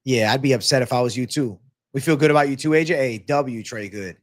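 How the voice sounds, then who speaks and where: A man's voice: mid-range voice, quick pace. A man with a stuffy, congested nose hosting a casual livestream, reading viewer comments aloud in a laid-back, slangy way and swearing freely. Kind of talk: casual livestream Q&A on fight betting.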